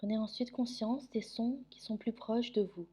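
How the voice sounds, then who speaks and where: A woman guiding a sleep meditation in French, giving soothing, gentle instructions with long pauses. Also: on the high side, steady tone, husky. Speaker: yoga nidra meditation guide.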